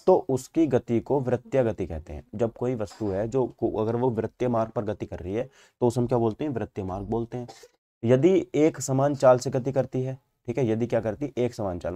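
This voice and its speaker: Male physics tutor, North Indian accent, soft-edged warm timbre, mid-range voice, explains step by step with repeated question-and-answer phrasing. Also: mid-range voice. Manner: repetitive, asks and answers his own questions. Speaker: physics teacher. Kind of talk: explanatory exam-prep lecture.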